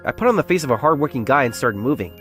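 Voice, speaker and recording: A man acts out an earnest young salesman confiding his story in a romance comic dub. He doubts himself and sounds like a secret nerd. His delivery is brisk and highly animated, swinging expressively, with music clearly playing behind him. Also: close to an everyday voice. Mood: flustered. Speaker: narrator and lead character of a romance comic dub. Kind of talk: first-person dramatised narration.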